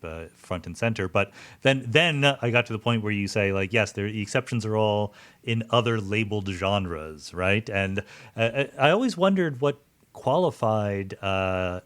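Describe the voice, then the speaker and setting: A man's voice: lowish voice, fairly bright tone. A man hosting an interview podcast, musing conversationally and often doubling back on his words as he thinks. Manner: musing, halting with repeated words. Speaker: podcast host.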